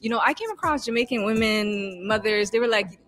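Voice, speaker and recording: A woman who grew up in East Africa and whose first language is not English, telling her story in an animated way and repeating points for emphasis in a casual podcast interview. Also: on the high side, fairly quick. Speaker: community worker guest.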